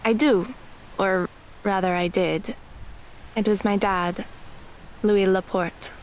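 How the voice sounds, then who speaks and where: A woman playing an anxious, self-doubting teenage girl in an audio drama, halting and nervous. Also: medium pitch, steady tone, slight background noise, natural voice. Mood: guarded.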